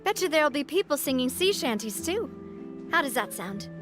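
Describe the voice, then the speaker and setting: A young woman boss, high-voiced, measured and firm, game dialogue. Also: very animated, music in the background. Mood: friendly. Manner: firm but friendly, measured. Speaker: boss of an organization. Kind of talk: game voice acting.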